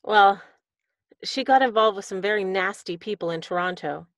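A woman's voice: mid-range voice, normal speed, no echo, close to an everyday voice. A woman playing an anxious, grateful fugitive in a period radio mystery, hesitant and polite. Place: indoor video call.

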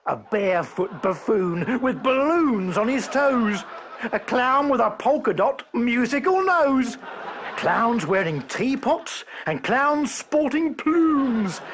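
A man reads a comic children's poem in a strikingly high, animated voice. He takes it slowly and sing-song, chuckling along, over a faint background hiss. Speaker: poem reader. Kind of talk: playful children's poem reading.

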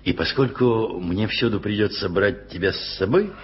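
A man's voice: fairly low pitch, moderate pace, a little hiss or hum, close to an everyday voice. An actor playing a kindly, flustered older male journalist in a 1980s radio play, coaxing and muttering to himself. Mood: playful. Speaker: lead character in a radio play. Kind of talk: 1980s radio drama acting. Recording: lo-fi archival radio recording with music.

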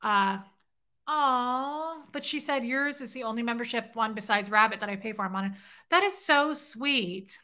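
Bright-voiced woman hosting a playful livestream. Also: a little high, normal speed. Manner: playful, teasing, flirty banter. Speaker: livestream host. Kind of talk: casual livestream chat reading comments. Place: home living room, indoors. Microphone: webcam at desk.

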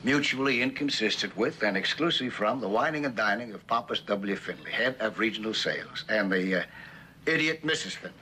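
An actor playing a bitter, disillusioned middle-aged executive husband in a television drama, with a halting, sardonic delivery. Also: male voice, fairly low pitch, lively intonation, pushed and bright, a little hiss or hum. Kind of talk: 1960s television drama acting.

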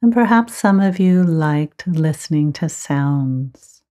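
A woman teaching guided meditation, giving gentle, measured instructions with frequent pauses. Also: sits low, animated.

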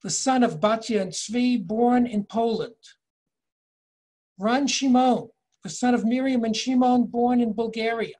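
An older man in his sixties leading a virtual tour lecture from home over a video call, measured and explanatory, reading inscriptions slowly. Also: extremely high pitch, darkish tone. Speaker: tour guide and educator. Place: home office, indoors. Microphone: video call webcam.

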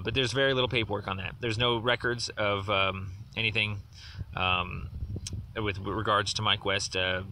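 A man leaving a long voicemail complaint, insistent and aggrieved, repeating himself as he builds his case. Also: on the low side. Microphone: phone. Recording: distant voice with faint noise on a phone voicemail.

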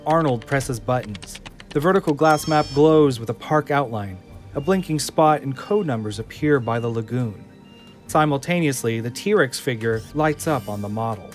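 A man narrating the scene directions of an audio drama in an even, descriptive manner. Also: mid-range voice, moderate pace, soft background music. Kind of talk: scripted narration of stage directions.

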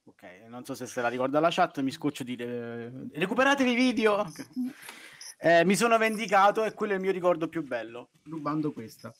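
A man's voice: on the high side, animated, a little fast, hardly any pauses, fairly bright tone. A man chatting casually as a guest on a group stream. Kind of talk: casual chat.

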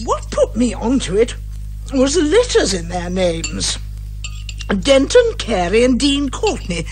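Brisk, bossy British lady sleuth, animated. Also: on the high side, faint music behind. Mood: smugly explaining. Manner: confident, brisk, lecturing. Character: eccentric, bossy female amateur sleuth, brisk and hearty. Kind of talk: 1980s radio comedy detective drama acting.

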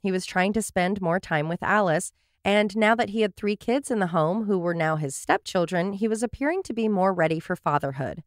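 A woman's voice: mid-range voice, moderate pace, no echo, studio quality. A woman hosting a true crime podcast, reading her case notes with wry, sarcastic asides. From Portland area, USA.

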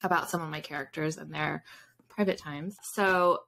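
A woman's voice: low voice, steady tone, moderate pace, gravelly, fairly bright tone. A woman in her thirties chatting casually as an interview guest on a video call. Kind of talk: casual chat. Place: indoors in a room with bookshelves, on a video call.

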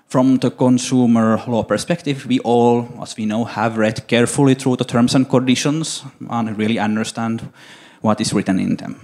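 Finnish man, middle-aged, low gravelly voice, measured with fillers, handheld mic on a conference stage. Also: a little mellow. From Finland. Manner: measured, hesitant, with many fillers. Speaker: conference speaker answering questions. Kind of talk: conference Q&A answer. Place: conference stage, large hall.

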